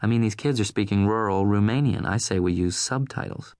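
A man's voice: on the low side, fairly quick, darkish tone, no room sound, clean studio sound, close to an everyday voice. A man voicing an imperious middle-aged newswoman with a Southern accent, speaking in a haughty, insistent way.

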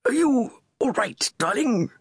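Woman narrator doing a fussy upper-class older man, plummy and refined, with an animated pitch. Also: takes it slowly, breathy edge, no echo, studio quality, heavily acted. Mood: genteel. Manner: plummy, mildly tetchy.

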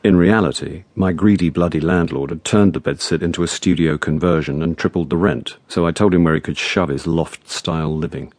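An actor playing a middle-aged, self-centred, hard-drinking man who narrates his misfortunes in a wry, deadpan radio drama style. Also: sits low, a little fast, hardly any pauses. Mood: evasive.

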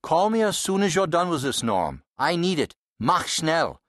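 A man's voice: fairly high pitch, sing-song, moderate pace, a bit bright, no echo, studio quality, pitched below the performer's own voice. A male narrator voicing a good-natured father who runs a butcher shop and jokes customers out of bad moods. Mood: brisk. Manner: easygoing, teasing. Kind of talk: audiobook character voice.

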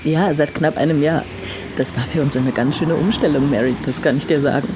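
Actress for chatty middle-aged wife in an audio drama, low register, street noise behind. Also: female voice, a little fast, lightly acted. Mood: chatty, fondly complaining. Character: chatty wife of a newly retired man. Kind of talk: conversational audio drama acting. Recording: noisy street ambience behind.

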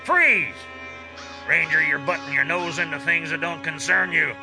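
An actor playing a menacing gunman delivering drawn-out threats in a Western audio drama. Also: fairly high pitch, animated, vocal fry, sharp tone, faint music behind, full character voice. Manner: menacing, drawling warnings.

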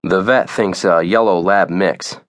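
A man's voice: low voice, a little slow. A man voicing an easygoing, good-hearted dad with a coaxing, reassuring manner in an audiobook. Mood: casual.

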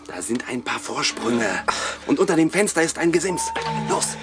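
A man's voice: fairly high pitch, big pitch swings, normal speed. A young man playing a bold, cocky ringleader in a horror radio drama, brash and dismissive, urging his companion on. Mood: confident. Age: young adult. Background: prominent music.

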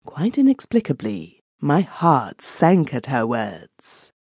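A man reads a classic ghost story as an audiobook narrator, in a measured, literary storytelling manner. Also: a little high, huge pitch swings, a little mellow, husky, no echo, studio quality.

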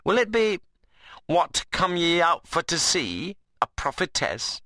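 A man's voice: fairly high pitch, very animated, slow, pushed and bright, no echo, studio quality, pitched above the performer's own voice, exaggerated voice. A man voicing a wiry, sarcastic young workman in an audiobook novel, mocking and needling in a dialect. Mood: teasing, sarcastic.

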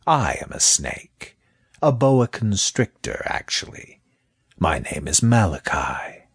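Storybook snake, played by a man: huge, sly and amused, low voice, unhurried drawl, breathy edge. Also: big pitch swings, no echo, studio quality, heavily acted. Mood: calm, amused. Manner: slow, drawn-out, sometimes whispery speech. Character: large boa constrictor snake, sly, unhurried, amused male. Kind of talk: children's audiobook character.

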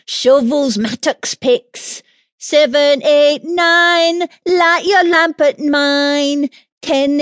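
Woman as a high, merry sing-song miner boy. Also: no room sound, studio quality. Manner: rhythmic, sing-song chant. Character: bold, merry miner boy singing a working rhyme.

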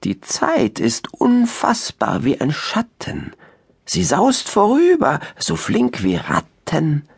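A man's voice: a little high, wildly swooping pitch, takes it slowly, gravelly, breathy edge, heavily acted. A man playing a quirky old eagle owl in a children's audiobook, grumbling and theatrical, an opinionated know-it-all. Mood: mysterious, incantatory. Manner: grumbling, theatrical, chanting rhymes. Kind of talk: children's audiobook character acting.

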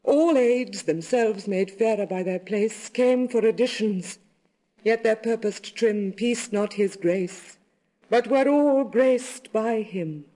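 Jilted young maiden, sorrowful; classical verse drama, unhurried, lyrical delivery, soft dark tone. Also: female voice, a little high, poor audio. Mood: reflective. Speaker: actor reading a narrative poem.

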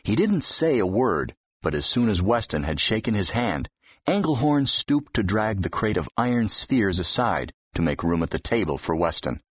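A man narrates a novel in a low, husky voice. His reading is expressive, with wide melodic movement, and the recording is dry and a little dull. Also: moderate pace, no echo. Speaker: audiobook narrator. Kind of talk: expressive scripted fiction narration. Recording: dull, slightly muffled audio.

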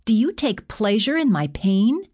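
A woman's voice: medium pitch, animated, moderate pace, a little mellow, dry recording, studio quality, pitched above the performer's own voice, natural voice. A woman voicing a frustrated, emotional young goddess in an audiobook, with an emphatic, accusing way of talking. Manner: exasperated, emphatic.

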